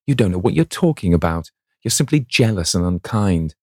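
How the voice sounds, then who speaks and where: A lovestruck young actress, breathless and rapturous, as a man puts her on. Her lines float up well above a husky low reading voice, warm and dark in tone. The recording is close and dry. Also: very animated, normal speed, no room sound, exaggerated voice. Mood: scolding.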